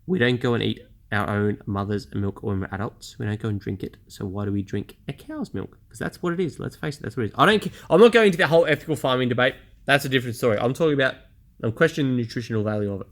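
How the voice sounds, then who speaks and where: A man's voice: mid-range voice, lively intonation, quick pace. A man, talking bluntly and casually about his own experience in a personal vlog. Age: 30s. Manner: blunt, chatty, self-deprecating. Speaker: vlogger. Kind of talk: candid personal vlog monologue.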